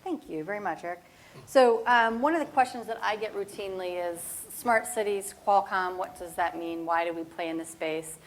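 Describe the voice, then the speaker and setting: A woman speaking as a panelist in a conference room, energetic and conversational, with a loud voice on a clip-on microphone. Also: mid-range voice, normal speed, slight background noise. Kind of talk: panel talk. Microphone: clip-on mic, then handheld.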